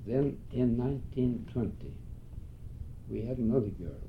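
An older man from Norway with a Norwegian accent, a Norwegian native speaker, reminiscing slowly and haltingly in a recorded interview, often pausing and clearing his throat. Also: expressive. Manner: slow, halting, with frequent pauses and throat clearing. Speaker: oral history interviewee. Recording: dull lo-fi tape with faint rumble.

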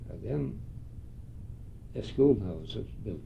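Slow gravelly old Norwegian-accented man. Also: warm tone. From Norway. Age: older. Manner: slow, halting, with frequent pauses and throat clearing. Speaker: oral history interviewee. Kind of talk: reminiscing in a recorded interview. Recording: dull lo-fi tape with faint rumble.

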